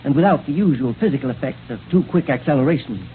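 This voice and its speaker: Guy doing excitable kindly inventor, stammery, old radio serial, tinny with some hiss. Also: mid-range voice, fast talker, close to an everyday voice. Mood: earnest, proud. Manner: stammering, chuckling, fussy. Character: excitable, kindly male scientist-inventor, proud of his gadgets. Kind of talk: 1930s radio serial acting.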